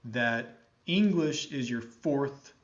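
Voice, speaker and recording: A man hosting a webinar interview over a video call, encouraging his guest and quick to laugh. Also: mid-range voice, expressive, lo-fi sound. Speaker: host.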